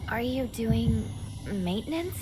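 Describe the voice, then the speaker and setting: High-voiced, capable young woman scout. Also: lively intonation, takes it slowly, music in the background. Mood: curious, attentive. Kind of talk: scripted video game dialogue.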